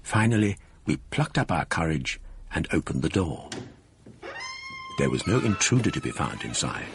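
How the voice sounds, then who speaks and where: A man narrating his own past in a measured, storytelling way, as in a radio drama. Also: a bass voice, very animated, slight background noise, voice pushed down. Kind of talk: scripted first-person narration in a radio drama.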